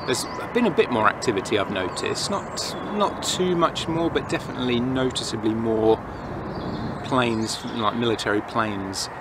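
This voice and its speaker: A man's voice: mid-range voice, expressive, normal speed. A man in his thirties with an English accent, rambling casually and reflectively to camera with his opinions while outdoors in a forest. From England. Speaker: outdoor vlogger. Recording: noisy outdoor ambience, distant planes and traffic.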